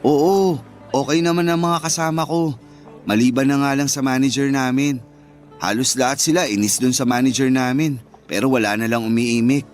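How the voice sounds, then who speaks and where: A man's voice: mid-range voice, steady tone, moderate pace, lightly acted. Male actor as young worker and boyfriend, conversational and protesting, radio drama, light music bed. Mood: resigned. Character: frustrated young male fast-food worker, devoted boyfriend.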